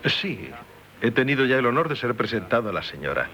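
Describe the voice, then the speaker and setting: A courteous aristocratic prince with a Castilian accent. His bright, crisp-edged voice is medium in pitch and swings expressively, polite and formal in the manner of 1970s radio theatre. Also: very animated, a little fast. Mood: sociable. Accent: Castilian Spanish from Spain.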